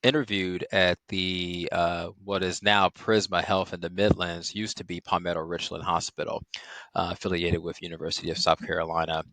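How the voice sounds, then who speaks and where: A middle-aged man from Chicago, a psychiatrist guest on a podcast, speaking in a measured way with many "um" fillers. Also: sits low, little pitch movement, bright, forceful tone, no echo. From Chicago, Illinois. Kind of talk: podcast interview.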